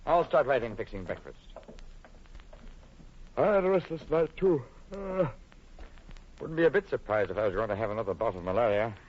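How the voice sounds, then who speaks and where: An actor playing an ardent, protective lover in old-time radio horror, earnest and melodramatic, anxious and pleading. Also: male voice, mid-range voice, big pitch swings, normal speed, pauses often. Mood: uneasy. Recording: noisy, dull old-time radio broadcast.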